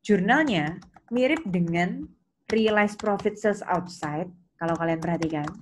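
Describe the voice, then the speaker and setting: A woman's voice: medium pitch, a little mellow. Indonesian woman lecturer explaining step by step. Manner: didactic, asks questions and answers them herself, checks in with "ya"; mixes English technical terms into her speech. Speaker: accounting lecturer.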